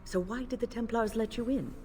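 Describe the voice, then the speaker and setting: An actress playing a grave, protective older female mage, speaking in a formal, measured way in a video game. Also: on the high side, warm tone, slight background noise, lightly acted.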